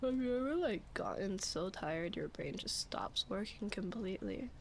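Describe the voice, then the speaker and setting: A woman's voice: mid-range voice, animated. A woman acting as a sleepy, flustered young roommate in an intimate roleplay ASMR, murmuring hesitantly and often whispering, shy and playful. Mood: tired, shyly affectionate. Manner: hesitant, halting, breathy murmuring with sighs. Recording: clear background ambience noise. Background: rain ambience.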